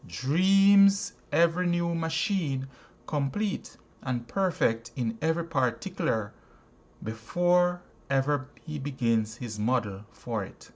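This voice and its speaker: A man narrating in an inspirational audiobook style, deliberate and halting, with pauses between short phrases. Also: mid-range voice. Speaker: audiobook narrator and channel host. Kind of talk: inspirational book reading.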